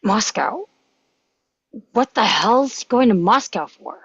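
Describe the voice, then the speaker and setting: A woman's voice: fairly high pitch, animated, slow pace, breathy edge, exaggerated voice. A woman at a script table read playing a weary, shaken male intelligence official. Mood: rattled. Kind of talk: dramatic script reading and stage directions. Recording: roomy, dull muffled microphone sound.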